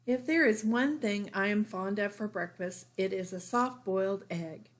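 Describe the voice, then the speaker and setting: A woman's voice: mid-range voice, normal speed, lightly acted. Woman reading a mild, genial father. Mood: genial, questioning. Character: genial, mild storybook father fond of food.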